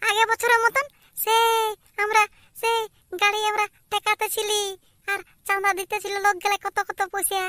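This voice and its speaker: A man voicing a stubborn little village boy for an amateur cartoon, with a Purulia Bengali accent and a pitched-up voice. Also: flat delivery, normal speed, sharp tone, no echo. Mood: eager, chatty. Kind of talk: amateur cartoon comedy dialogue.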